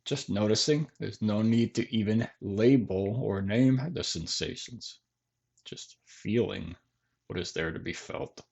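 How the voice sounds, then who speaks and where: A man leading a guided body scan meditation in gentle, measured phrases with long pauses between them. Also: on the low side, creaky, husky, muffled recording. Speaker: meditation teacher.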